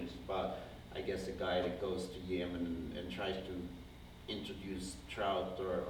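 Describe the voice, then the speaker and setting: A man with a Norwegian accent gives an informal slide lecture to an audience, speaking hesitantly and often rephrasing himself as he rambles along. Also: low-pitched. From Norway. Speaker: conservation project founder giving a guest lecture. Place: indoor university lecture room. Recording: very echoey room, distant mic, faint noise.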